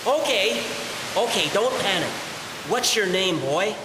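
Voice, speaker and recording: Man acting urgent kindly rescuer guy in a radio play, highish voice, hissy and echoey. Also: expressive, unhurried. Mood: urgent, reassuring. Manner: urgent, reassuring. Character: urgent, kindly male passer-by rescuing a boy. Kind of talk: audio drama acting. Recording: echoey reverb with clear hiss.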